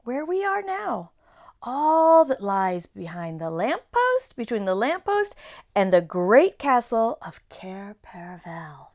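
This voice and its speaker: An excitable, courteous faun fusses over his words and bows with old-fashioned politeness, as a woman puts him on for a storybook reading. The voice sits high, swoops up and down, and comes out loud and eager. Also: takes it slowly, exaggerated voice. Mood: welcoming. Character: polite, fussy, nervous male faun.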